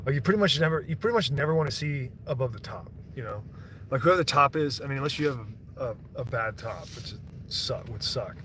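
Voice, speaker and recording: A man's voice: medium pitch, animated, fast talker. Man streaming, rambling chat, constant cussing, restarts mid-sentence, faint hiss behind. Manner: rambling, profane, self-interrupting.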